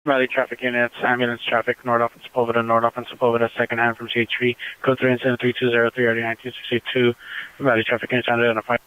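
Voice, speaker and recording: A man's voice: mid-range voice, monotone, rapid-fire, sharp tone, clear, resonant tone, poor audio. A man working as a police dispatcher, giving clipped broadcasts over a radio. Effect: police radio transmission.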